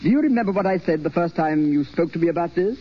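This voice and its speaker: A man's voice: on the high side, animated, fast, natural voice. Man playing a pompous, swooping stage actor. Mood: grand, assured. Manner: pompous, theatrical, repeats himself. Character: vain, pompous, effusive romantic stage actor. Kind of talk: 1930s radio acting. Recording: muffled, hissy 1930s radio recording.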